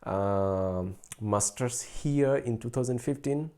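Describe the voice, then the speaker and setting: A man's voice: mid-range voice, slow, a little mellow. A young man from Bangladesh, a native Bengali speaker with a Bangladeshi accent, chatting casually on a live stream and rambling with fillers and self-corrections. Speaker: researcher doing a live stream.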